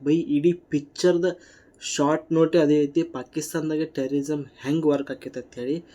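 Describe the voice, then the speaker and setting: A man's voice: on the high side, flat delivery, normal speed, dark tone. A man with a North Karnataka accent giving blunt, combative, colloquial reaction commentary. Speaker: YouTube commentator.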